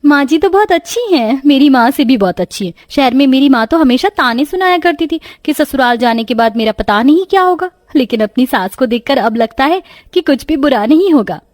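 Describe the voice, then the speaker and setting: A woman's voice: sits high, animated, a little fast, few pauses, a little mellow, no room sound, clean studio sound. A woman voicing a carefree, lazy young college woman in a story, with a breezy, whiny manner. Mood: sweet.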